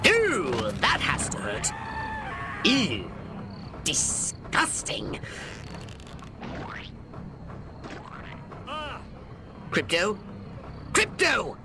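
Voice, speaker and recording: An imperious alien commander from a video game, scheming and theatrical. The delivery is slow and grandiloquent, with big swoops in pitch, over a music bed. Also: male voice, wildly swooping pitch, full character voice. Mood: alarmed. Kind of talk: video game cutscene acting. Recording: music behind the voice.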